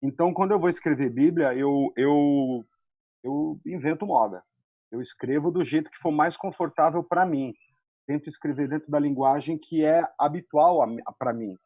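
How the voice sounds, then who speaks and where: A man's voice: on the high side, moderate pace, mellow, no echo, lo-fi sound. A man with a Brazilian accent talking colloquially as a screenwriter on a panel. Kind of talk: casual, colloquial panel talk.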